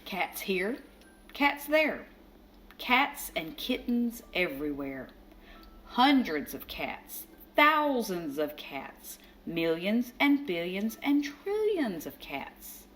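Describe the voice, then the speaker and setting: Female children's storyteller, unhurried and expressive, swooping intonation, dramatic stresses, slight noise behind her. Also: mid-range voice. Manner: expressive, dramatic emphasis on repeated phrases. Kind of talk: children's picture book read-aloud. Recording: faint background noise.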